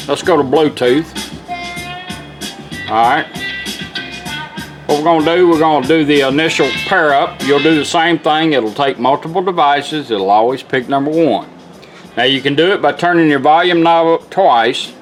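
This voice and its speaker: Male demonstrator, informal folksy delivery, slightly higher pitch, clear music in the background. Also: normal speed, pauses often. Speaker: radio restorer demonstrating a product. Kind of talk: casual product demonstration. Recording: music playing from a radio behind. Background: radio music with guitar.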